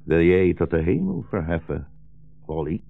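An actor playing a weary, gentle old blind rabbi in a 1960s radio drama, speaking slowly and solemnly as a philosophical storyteller. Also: male voice, low-pitched, big pitch swings, vocal fry, soft background music, full character voice. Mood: solemn, preaching. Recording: dull, roomy 1960s radio recording.

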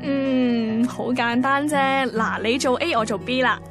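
A woman's voice: fairly high pitch, measured pace, lightly acted. An actress playing a caring, demanding yet tender young girlfriend in a radio drama, speaking Hong Kong Cantonese. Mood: challenging. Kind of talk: radio drama acting and first-person narration. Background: music.

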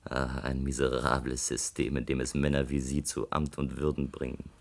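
A man's voice: rumbling low, monotone, normal speed, vocal fry. A man playing a brazen young student blackmailer in a German radio play, sardonic, taunting and smug. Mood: scornful. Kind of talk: German radio drama acting.

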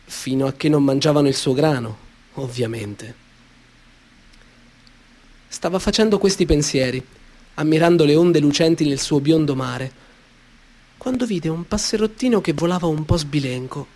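A male storyteller reads a children's tale in a slightly high voice. His delivery is gentle and measured, with expressive turns on key phrases. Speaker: author reading his story. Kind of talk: storybook narration.

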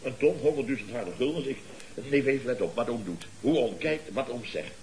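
An actor playing a blustering, boastful contractor boss in a Dutch radio comedy, with a pompous, theatrical, lecturing manner. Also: male voice, mid-range voice, animated, fairly quick, darkish tone, slight background noise, lightly acted, rough recording. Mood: flustered. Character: blustering, boastful contractor boss and uncle, scheming wheeler-dealer.

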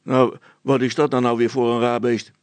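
A man's voice: medium pitch, level tone, moderate pace, dry recording, lightly acted. A man voicing a plodding, sceptical village policeman in a story, plain-spoken, mocking and grumbling.

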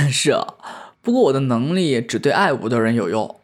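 A man's voice: mid-range voice, lively intonation, normal speed, lightly acted. An actor playing an eager, playful young male film star known for bad acting, in an audio drama. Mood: eager, explaining. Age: young adult.

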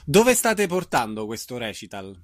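A man's voice: medium pitch, big pitch swings, measured pace, no echo. Male interviewer with a very dry sound. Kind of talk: interview questions with brief acknowledgements.

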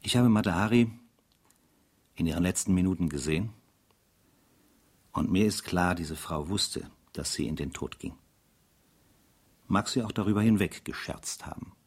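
Deep, husky, grave army captain. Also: male voice, a little mellow. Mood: reflective, firm. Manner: measured, grave, formal. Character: sober, dutiful French army captain recording an execution. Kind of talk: radio drama narration in diary form.

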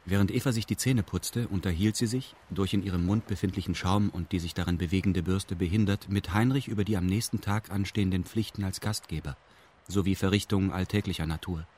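An actor playing a detached first-person narrator in a radio drama, speaking in formal, pedantic, deadpan prose. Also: male voice, low-pitched, husky. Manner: formal, deadpan, stilted indirect speech.

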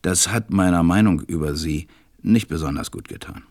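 An actor playing a grown pilot who wistfully recalls his life as a storyteller in a radio play, measured and reflective. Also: low voice, darkish tone. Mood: wry, reflective.

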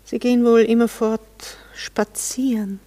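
A woman's voice: on the high side, flat delivery, a little slow, warm tone, close to an everyday voice. An actress playing a married society lady with a Viennese accent in a radio drama, sarcastic, imperious and playfully reproachful. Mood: imperious, teasing. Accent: Austrian, Viennese. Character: sharp-tongued married society lady, coolly mocking yet flirtatious. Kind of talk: German radio drama dialogue.

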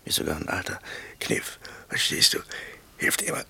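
An actor playing a laid-back, stubborn escaped war prisoner in a radio play, gravelly, grumbling and dryly ironic in everyday slang. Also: male voice, moderate pace, bright, forceful tone, whispered. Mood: dry, unbothered. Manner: colloquial, nonchalant, dryly ironic.

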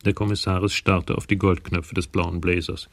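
A man's voice: low voice, little pitch movement, normal speed, no room sound. A man narrating a radio crime drama in scripted prose.

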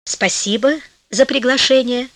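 Lady reader doing a proper noble lady spirit from a storybook, very polite n stately, highish voice, dry sound. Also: measured pace, fairly bright tone, dry recording. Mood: courteous, reserved. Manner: courteous, formal, stately. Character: Honor, dignified, scrupulous, trusting noble lady spirit.

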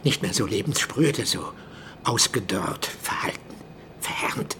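A male actor playing a frail, obsessive, bitter old professor in a radio drama, swinging between agitated delivery and breathy murmurs. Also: fairly low pitch, animated, measured pace, background noise. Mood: weak, sorrowful. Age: older.

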